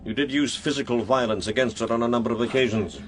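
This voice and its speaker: An actor playing a wry, authoritative police inspector with a Scottish accent in a radio crime drama. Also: male voice, medium pitch, fast talker, background noise, lightly acted. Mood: stern.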